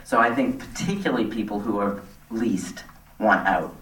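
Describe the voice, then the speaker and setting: A woman's voice: rumbling low, moderate pace. Echoey, distant woman giving public comment. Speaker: resident speaking at a town board meeting. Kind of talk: public comment speech, semi-extemporaneous. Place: community center meeting hall. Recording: echoey hall, distant microphone.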